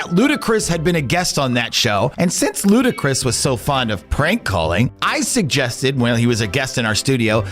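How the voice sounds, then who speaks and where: A male radio host telling a story on his podcast with emphatic, drawn-out delivery. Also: on the high side, fairly bright tone. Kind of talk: storytelling narration. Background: background music.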